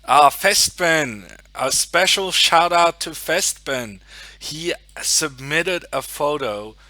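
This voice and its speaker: Slow, bright-voiced Norwegian streamer. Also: male voice, on the high side, lively intonation, no echo. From Norway. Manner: chatty, thinking aloud, muttering to himself. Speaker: chess streamer. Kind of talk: casual live-stream chat.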